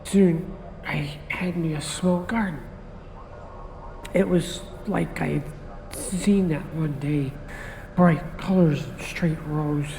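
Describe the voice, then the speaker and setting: An actor playing a gentle, simple homeless man who is a suspect, speaking in a soft, halting, hesitant way in an audio drama. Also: a little high, creaky, long reverb tail, slight background noise, poor audio. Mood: wistful.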